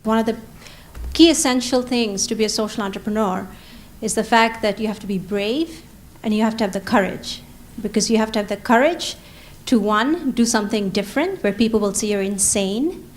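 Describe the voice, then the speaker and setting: A woman's voice: mid-range voice, brisk. A woman in her forties from Bangladesh speaks earnestly on a panel. She presses her points hard, circling back to the same key phrases. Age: 40s. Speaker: panelist, social entrepreneur. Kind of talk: panel talk.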